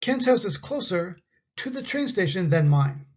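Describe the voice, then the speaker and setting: A Canadian man with a husky, breathy voice reads out a grammar lesson for learners of English, spacing each example sentence out. The recording has a slightly dull, muffled sound. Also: high-pitched, big pitch swings, a little mellow. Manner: slow, carefully enunciated example sentences. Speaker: English teacher.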